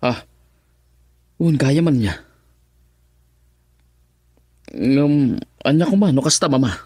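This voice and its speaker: Stubborn young son, close dry sound. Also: male voice, mid-range voice, animated, measured pace, warm tone, husky, no echo. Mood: puzzled, reluctant. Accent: Filipino (Ilocano). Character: stubborn, exasperated young adult son, loyal in love. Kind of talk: Ilocano radio drama acting.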